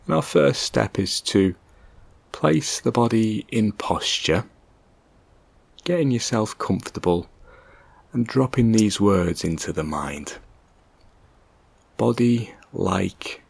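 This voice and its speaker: A man with a deep, gravelly voice leads a guided meditation. He speaks gently and calmly, leaving long, measured pauses between phrases. The sound is close and dry. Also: no room sound. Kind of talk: guided meditation, soft slow instruction.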